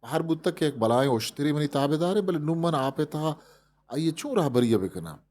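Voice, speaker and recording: A man's voice: on the high side, animated, normal speed, darkish tone, a little hiss or hum, voice pushed up, lightly acted. A man with a Balochi accent voicing a small mouse in a storybook fable, boastful yet timid when tested. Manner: self-important, then pleading. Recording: music behind the voice.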